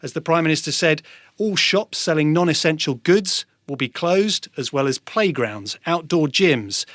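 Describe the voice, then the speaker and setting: A man with a British accent giving a measured, formal live news report as a political correspondent. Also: a little high, animated, a bit bright, no room sound, studio quality.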